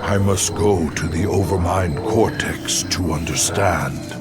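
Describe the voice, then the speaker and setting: An actor playing a grave, brooding alien warrior mystic in a game cutscene, with a solemn, halting, dramatic delivery. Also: male voice, low-pitched. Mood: grave, foreboding. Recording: loud music behind the voice.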